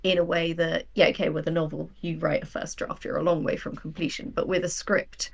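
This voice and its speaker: A woman's voice: low-pitched, little pitch movement, fairly quick, creaky, highs cut off. A woman speaking as a guest writer in a reflective interview conversation, candid and explanatory about her own doubts. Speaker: guest, audio drama writer.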